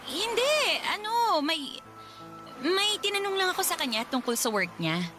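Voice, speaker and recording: A woman's voice: very high-pitched, sing-song, moderate pace. A woman playing an evasive young coworker in a radio drama, defensive and stammering, with a Filipino accent. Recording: music behind studio dialogue.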